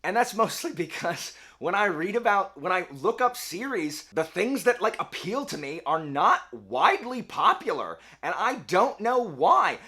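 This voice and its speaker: Male booktuber host, slightly hoarse, talks in loose rambling runs with an animated, swinging pitch. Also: measured pace, hardly any pauses. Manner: chatty, rambling, self-correcting. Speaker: book channel host. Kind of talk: casual book vlog.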